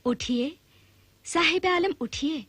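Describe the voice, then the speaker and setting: An actress playing a gentle, devoted palace maidservant in a period serial, with a respectful, courtly manner. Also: female voice, high-pitched, slow pace, dry recording. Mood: respectful, tender. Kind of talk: formal Urdu-flavoured period serial acting.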